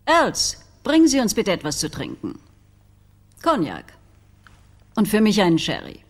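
A woman's voice: mid-range voice, huge pitch swings, unhurried, slight background noise. An actress playing a haughty, formal lady of a grand house in a radio crime drama, pointed and commanding. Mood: cool, pointed.

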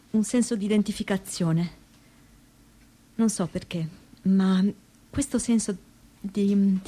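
A woman acting an introspective, troubled daughter gives a personal testimony for the theatre. Her voice sits at an average pitch. She talks in a conversational way, pausing and searching as she thinks aloud. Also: darkish tone, natural voice. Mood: reflective.

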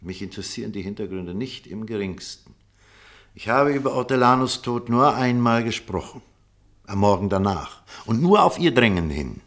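Deep-voiced, weary middle-aged doctor. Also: male voice, animated, a bit bright. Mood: defensive, firm. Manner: measured, deliberate, restrained. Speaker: actor in radio play. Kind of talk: German radio drama acting.

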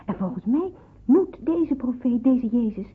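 Vurige jonge Joodse onderduikster, hese stem. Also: female voice, on the high side, lively intonation, unhurried, breathy edge, full character voice. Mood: earnest, fervent. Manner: earnest, emphatic, pleading delivery. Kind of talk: Dutch radio play acting. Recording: muffled narrowband old radio play.

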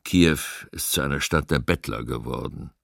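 An actor playing a somber survivor giving deliberate testimony about a starving city in a documentary radio play. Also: male voice, very deep voice, vocal fry, dry recording, studio quality, heavily acted.

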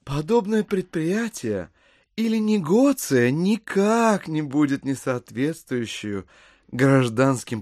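An actor playing an ingratiating gentleman schemer who declaims with florid courtesy in a classic audio drama. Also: mid-range voice, no room sound, studio quality. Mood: measured, businesslike. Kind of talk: theatrical Russian classic audio drama acting.

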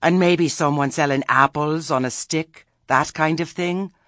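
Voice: mid-range voice, a little slow, a bit bright, no echo, studio quality, voice pushed up, natural voice. A narrator voicing a stern, imperious old country witch woman in a terse, sardonic, grudging way.

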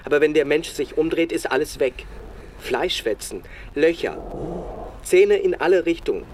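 An actor playing a sober reporter who narrates a radio feature in terse, deliberate sentences. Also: male voice, fairly high pitch, expressive, darkish tone, slight background noise, close to an everyday voice. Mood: sober, bleak. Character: sober male reporter recounting a bleak field search.

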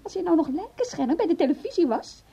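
A bitter mocking wife in a radio drama, really high voice, theatrical. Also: female voice, sing-song, fast talker, creaky, warm tone, dull audio, heavily acted. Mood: scornful. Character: scornful, sexually frustrated wife, bitterly taunting her husband. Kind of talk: Dutch radio play acting.

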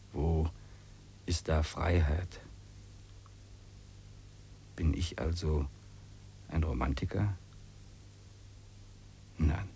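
An actor playing a reflective wanderer who muses philosophically in a 1950s German radio drama. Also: male voice, low-pitched, warm tone, slight background noise. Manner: musing, philosophical. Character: reflective German wanderer seeking freedom in the wilderness.

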